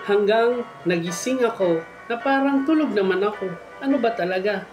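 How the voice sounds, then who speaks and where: A man's voice: sits high, lively intonation, normal speed, echoey room, low-quality recording. A man hosting a story show, reading listeners' horror tales aloud. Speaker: storytelling host. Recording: music bed behind the voice. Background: faint music.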